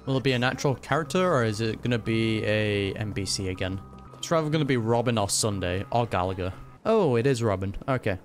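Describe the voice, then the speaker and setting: A man's voice: on the low side, a little fast. Casual man reacting over game music. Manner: informal, reacts out loud. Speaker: gaming reaction streamer. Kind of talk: casual play-along commentary.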